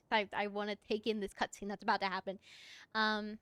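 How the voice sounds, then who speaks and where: A woman chatting casually on a livestream as she plays, answering viewers in an expressive, encouraging, effusive way with a mezzo voice. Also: medium pitch, moderate pace, smooth, dry recording. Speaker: VTuber live streamer. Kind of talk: casual livestream chat while gaming, answering viewer comments.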